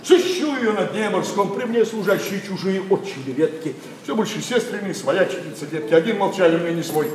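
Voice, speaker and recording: An actor playing a fussy older gentleman in a classical verse comedy, effusive and ingratiating in a declamatory stage manner. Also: sits high, expressive, normal speed, few pauses. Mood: effusive, flattering. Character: fussy, fawning older gentleman, a matchmaking father. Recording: echoey, distant stage sound with noise.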